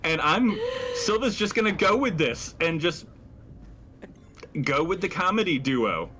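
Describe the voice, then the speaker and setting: Animated man playing a tabletop game. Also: high voice, measured pace, background noise. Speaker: player in a tabletop roleplaying game. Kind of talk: casual table talk.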